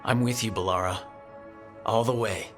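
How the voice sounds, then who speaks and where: Man voicing game adventurer hero, crisp bright tone, wry, supportive, conversational, light music underneath. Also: on the low side, fairly even intonation, normal speed, close to an everyday voice. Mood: earnest, supportive. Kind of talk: video game voice acting.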